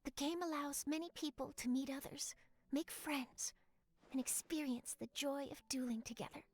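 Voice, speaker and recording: An actress playing a kind, encouraging young woman in a video game, gentle and thoughtful. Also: sits high, moderate pace, close to an everyday voice. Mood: warm, thoughtful.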